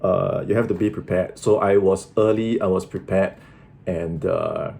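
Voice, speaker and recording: Mellow, low-voiced man in his thirties. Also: measured pace, gravelly. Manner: clipped replies, then steady, advisory telling. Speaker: sales closer and channel host. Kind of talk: casual call, then reflective narration.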